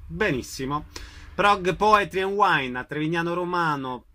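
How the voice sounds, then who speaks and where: A man hosts a radio interview in an informal register. His voice is fairly high for a man, with a clearly bright, crisp edge. He delivers introductions and questions as the presenter. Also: a little slow.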